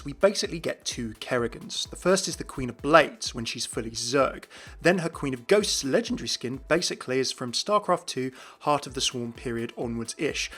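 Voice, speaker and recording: A man's voice: mid-range voice, fairly bright tone, husky. A man hosting a video, giving scripted narration of video game lore.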